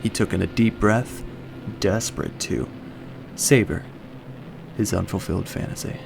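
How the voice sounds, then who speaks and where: A man narrating scripted fiction in a measured, dramatic storytelling way. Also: lowish voice, creaky, music in the background, poor audio.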